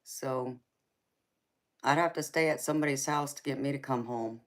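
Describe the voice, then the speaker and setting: A woman's voice: a bass voice, moderate pace. A woman with a Southern accent hosting a live tarot stream, chatting casually with her viewers. She laughs often and calls people baby.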